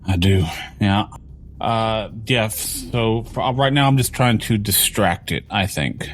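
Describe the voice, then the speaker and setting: A man's voice: on the low side, a little slow, slight background noise. A man playing a tabletop game, talking casually and thinking his moves through aloud with some hesitation.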